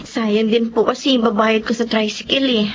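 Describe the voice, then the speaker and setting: A woman's voice: fairly high pitch, steady tone, normal speed, lightly acted. An actress playing a dutiful young Filipino working woman in a radio drama, polite and long-suffering. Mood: weary. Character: weary, dutiful young working eldest daughter. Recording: dull lo-fi audio, clear background noise.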